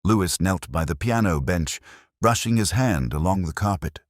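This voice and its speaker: A man playing a brooding police inspector who narrates a murder case in a measured, reflective, literary way. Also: low voice, animated, no echo, clean studio sound. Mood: quietly suspicious. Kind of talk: first-person scripted detective story narration.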